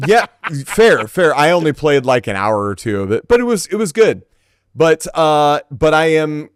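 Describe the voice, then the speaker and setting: A man with a smooth, clear voice at a medium pitch chats as a podcast co-host. He speaks in an informal, emphatic, slangy way, with a dry studio-like sound. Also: no room sound. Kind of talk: casual gaming podcast chat.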